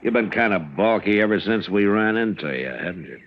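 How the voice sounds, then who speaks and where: Deep, stern frontier marshal. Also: male voice, lively intonation, brisk. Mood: gruff command. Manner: terse, authoritative. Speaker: actor in a radio western. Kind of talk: old time radio western acting. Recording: muffled, noisy old radio recording.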